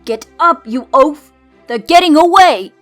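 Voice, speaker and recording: A woman plays a hot-tempered young fighter in a comic drama. She barks commands in a slightly high voice, bossy and sharp, over background music. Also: animated, unhurried. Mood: frantic, angry. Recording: music behind the voice.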